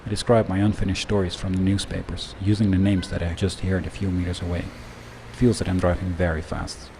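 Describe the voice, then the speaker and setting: A man delivering a scripted first-person monologue in a measured, reflective way. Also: low-pitched, flat delivery, creaky, a little mellow, slight background noise. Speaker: narrator.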